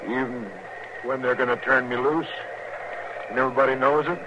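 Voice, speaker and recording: A man's voice: mid-range voice, fairly even intonation, moderate pace, natural voice. Drawling, sullen Western outlaw played by a man. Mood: sullen, puzzled. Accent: rural Western American. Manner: drawling, uneducated folksy speech. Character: sullen, distrustful cowboy cattle rustler under arrest. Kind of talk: 1950s radio western acting. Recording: muffled, noisy 1950s radio recording.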